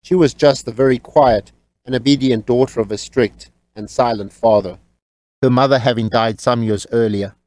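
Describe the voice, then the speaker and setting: A man narrating a scripted audiobook story in a measured, wry storytelling manner. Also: mid-range voice, steady tone, a little mellow, dry recording.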